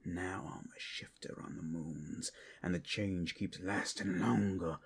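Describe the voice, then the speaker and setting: A man playing a cursed, menacing captive werewolf in an ASMR roleplay, with a gravelly, husky voice that often breaks into whispers. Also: sits low, steady tone, heavily acted. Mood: scornful, bitter. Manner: slow, dramatic, swinging between whispers and outbursts. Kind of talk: ASMR audio roleplay acting.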